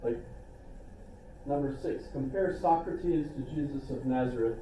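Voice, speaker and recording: A man's voice: medium pitch, measured pace, warm tone, a little hiss or hum. An older man teaching a philosophy class in a casual, rambling way, with asides and self-deprecating jokes. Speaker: philosophy instructor. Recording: echoey classroom recorded from a distance.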